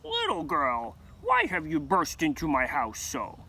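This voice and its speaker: A man voicing a stern, commanding old witch in a live folktale, speaking loudly. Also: on the high side, huge pitch swings, measured pace, exaggerated voice. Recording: noisy live recording.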